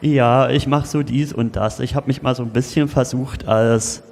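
A man's voice: mid-range voice, fairly even intonation, normal speed, vocal fry, a little mellow, a little hiss or hum, lightly acted. Man improvising as easygoing kohlrabi in echoey hall. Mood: casual, self-assured. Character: easygoing ex-onion man turned kohlrabi, individualist show imitator. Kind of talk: improvised comedy radio play. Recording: echoey hall, live workshop performance.

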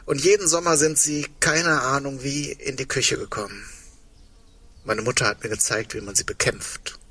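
Bright-voiced, husky man, wry and reflective. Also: mid-range voice, exaggerated voice. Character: wry, digressive man facing impending doom, quietly hopeful. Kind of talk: naturalistic German radio play dialogue.

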